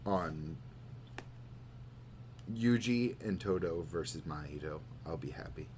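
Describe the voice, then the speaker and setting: A man co-hosting a podcast, chatting casually. He is laid-back, laughs easily and lets his sentences trail off quietly. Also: low-pitched, animated, slow pace, a little hiss or hum. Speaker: podcast co-host. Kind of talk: casual chat.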